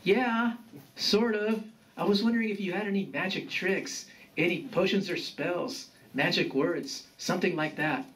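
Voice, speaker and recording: A man's voice: high voice, measured pace, a bit bright, pitched above the performer's own voice. A man voicing an earnest, hapless grandson of thirty in a story, hesitant and pleading. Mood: eager, defensive. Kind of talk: storytelling character voice. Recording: distant mic with background noise.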